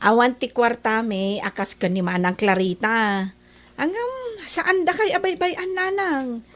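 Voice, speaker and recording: A woman's voice: on the high side, measured pace, fairly bright tone. A woman with an Ilocano accent playing a fretful, thrifty younger sister who fusses and exclaims in a comedy radio drama. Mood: worried. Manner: exclamatory, fussing.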